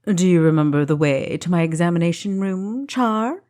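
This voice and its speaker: A woman's voice: lowish voice, expressive, normal speed, mellow, close to an everyday voice. A woman voicing a genial but commanding male doctor in a fantasy audiobook, with courteous, fussy manners. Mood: firm, teasing.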